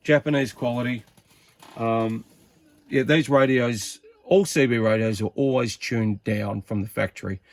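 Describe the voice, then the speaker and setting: A man's voice: mid-range voice, fairly even intonation, unhurried. A man giving a casual hands-on demonstration as a radio hobbyist, rambling informally and thinking aloud as he goes.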